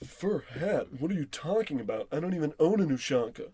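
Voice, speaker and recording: Male actor for cocky salesman, old-time radio parody. High voice, patronizing, dismissive, dry studio sound. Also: sing-song, brisk, warm tone, breathy edge, dry recording. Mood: irritated. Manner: bossy, patronizing.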